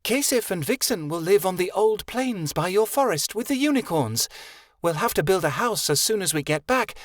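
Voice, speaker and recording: A man voicing an excitable fairy woman who speaks of herself by name. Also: high voice, moderate pace, no echo, clean studio sound, pitched above the performer's own voice. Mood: stern.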